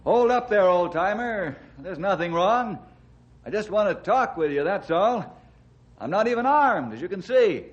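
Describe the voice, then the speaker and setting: A man's voice: high-pitched, big pitch swings, measured pace, heavily acted. A man playing a friendly, patient forest ranger in an old-time radio drama, with a folksy, conciliatory manner. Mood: calling out. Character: friendly, calm, well-meaning male forest ranger. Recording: muffled vintage radio recording, faint noise.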